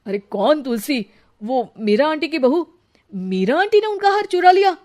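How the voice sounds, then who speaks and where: Cartoon family drama: quarrelsome elderly mother-in-law played by a woman, high-voiced, scornful, sharp-tongued. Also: lively intonation, moderate pace. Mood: furious, mocking.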